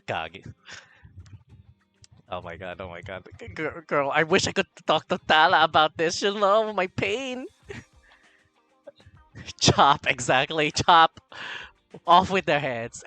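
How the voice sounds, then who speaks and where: A man streamer from the Philippines, gossiping and reading chat in a camp, animated way. His bright, lively voice leaps between low murmurs and loud squeals, while faint music plays in the background. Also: no echo. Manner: chatty, camp, reading chat aloud, swings from mumbling to loud excitement. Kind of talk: casual livestream chat.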